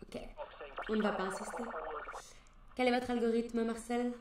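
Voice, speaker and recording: An actress playing a polished game show host who greets callers with presenter patter. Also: female voice, on the high side, steady tone, normal speed, background noise, close to an everyday voice. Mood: probing. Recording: echoey, distant, loud music behind.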